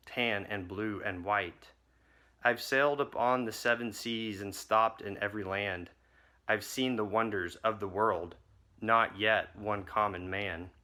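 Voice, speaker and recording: A man lighting the chalice at a service and reading a poem in a measured way. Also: lowish voice, sharp tone.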